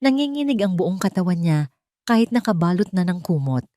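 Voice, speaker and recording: A woman's voice: fairly low pitch, big pitch swings, brisk, dark tone. A woman with a Filipino accent narrating a dramatic first-person story in an emotive storytelling manner.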